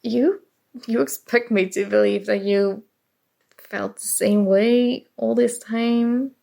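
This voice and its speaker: A nerdy young college woman played by an actress with a fairly high voice that often cracks into fry. She is flustered and emotional, stammering haltingly through an intimate ASMR roleplay. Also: fairly even intonation, dark tone, heavily acted. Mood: stunned disbelief. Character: nerdy, flustered young female college student confessing a crush.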